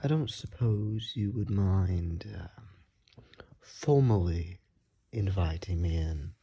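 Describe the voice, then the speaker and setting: A man voicing a courteous, ingratiating vampire visitor in an ASMR roleplay, softly and often in a whisper, with polite, old-fashioned, hesitant and flattering speech. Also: low voice, slow, dull audio, exaggerated voice. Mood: grateful, charmed. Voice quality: soft, breathy, often whispered.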